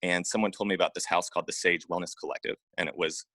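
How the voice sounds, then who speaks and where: A man with a low-pitched voice shares personal stories as an interview guest. He talks informally and haltingly, often repeating words and adding "you know." The sound is dry, with very little room around it. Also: fairly even intonation, pushed and bright, no room sound. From Oregon, United States. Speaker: interview guest, acupuncturist and massage therapist. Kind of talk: casual personal storytelling in an interview.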